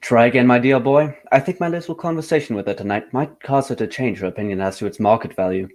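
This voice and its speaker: An actor playing a composed, courteous gentleman detective in a radio drama, speaking in a formal, measured way. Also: mid-range voice, close to an everyday voice. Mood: reassuring.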